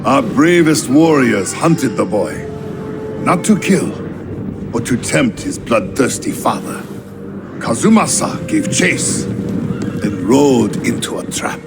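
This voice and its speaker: Gravelly, scornful male storyteller. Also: mid-range voice, very animated, slow pace, full character voice. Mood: bitter, scornful. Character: bitter, scornful male islander storyteller recounting an invasion. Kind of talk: scripted dramatic narration. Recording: music behind the voice.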